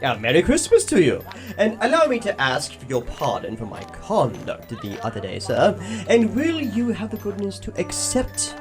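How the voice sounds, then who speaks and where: A man playing an elderly reformed miser in an audio drama, theatrical and exclamatory, a jolly old gentleman. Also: sits high, wildly swooping pitch, moderate pace, over music. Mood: cordial, eager.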